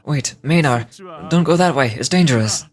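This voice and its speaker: A man's voice: on the high side, moderate pace, natural voice. A man voicing a troubled, earnest sleepwalking man in video game dialogue. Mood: alarmed.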